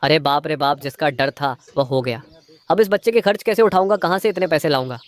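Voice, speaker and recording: A man's voice: on the high side, a little fast, natural voice. A man playing a stingy, scheming cartoon crow husband. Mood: dismayed, scheming. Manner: muttering schemes, feigning sweetness to his wife. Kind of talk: Hindi moral-story cartoon dialogue.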